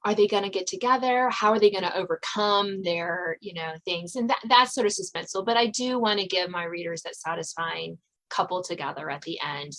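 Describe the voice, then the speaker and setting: Bright, chatty woman podcast host. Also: lowish voice, brisk. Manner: chatty, laughing, many fillers. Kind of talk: casual interview chat.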